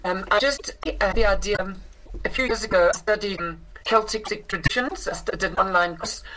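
A South African actress, a guest in an interview, talking in a rambling way with many ums and restarts. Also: female voice, mid-range voice, a little fast, fairly bright tone, low-quality recording. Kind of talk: casual interview. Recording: clear background hiss.

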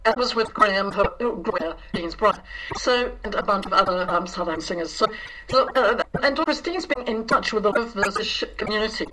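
A woman speaks as an interview guest, hesitant and halting, with frequent ums. She sounds set back from the mic, and a clear hiss sits under her voice. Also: medium pitch, animated. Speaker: director and writer, guest. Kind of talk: casual interview. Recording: distant mic with clear noise.

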